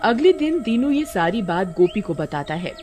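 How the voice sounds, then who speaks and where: Female story narrator, mid-pitched, scripted horror-cartoon storytelling over music. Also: very animated, normal speed, darkish tone.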